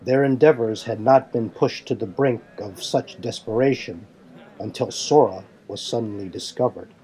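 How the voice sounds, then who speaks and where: A man's voice: mid-range voice, moderate pace, dark tone, rough recording. A man reading scripted fantasy narration in a formal, dramatic storytelling manner. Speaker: narrator and reader.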